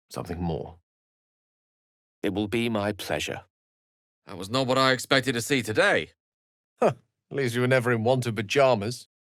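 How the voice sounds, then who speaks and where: A learned male wizard from a fantasy video game, speaks in a slightly low voice that swoops expressively. His phrasing is wordy and theatrical, witty yet earnest, with a melancholic streak. The recording is close and dry. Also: a bit bright, no echo. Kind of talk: video game character voice lines.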